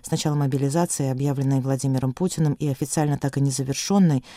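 A man reading a news digest as anchor, in an even, formal newsreader delivery. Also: medium pitch, racing, dry recording, clean studio sound.

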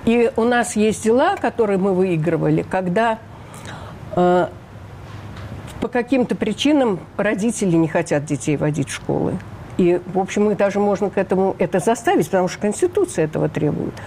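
Older female guest, mellow timbre, indignant and emphatic. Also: mid-range voice, expressive, brisk, a little hiss or hum. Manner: emphatic, indignant, rhetorical questions. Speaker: interview guest, refugee rights advocate. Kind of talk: radio interview.

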